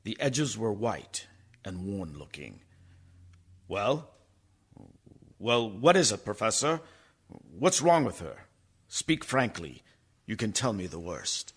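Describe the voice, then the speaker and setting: An actor in a radio play playing an earnest young doctor, narrating in a formal, deliberate way. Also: male voice, fairly low pitch, sing-song, slightly rough, full character voice. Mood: anxious.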